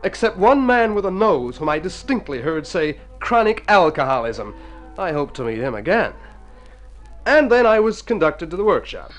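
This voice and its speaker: A rich young hypochondriac in old radio drama, high voice, theatrical stammery, music behind. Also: male voice, very animated, normal speed. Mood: wry recounting. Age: young adult. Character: rich, personable, hypochondriac young gentleman seeking sympathy. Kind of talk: mid-century radio drama acting.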